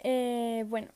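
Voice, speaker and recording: A seventeen-year-old girl who is unwell, answering study questions in a casual video message, often hesitating, trailing off and laughing. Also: a little high. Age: teens. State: sick.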